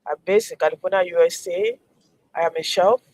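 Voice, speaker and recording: A woman's voice: on the low side, fairly even intonation, fairly quick, darkish tone, poor audio. A woman with a Nigerian accent greeting viewers and introducing herself as a co-host and mentor on a livestream.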